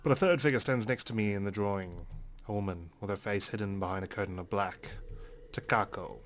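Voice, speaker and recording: A man's voice: on the low side, a little fast. A man reading a visual novel aloud in dramatic first-person narration for a let's play.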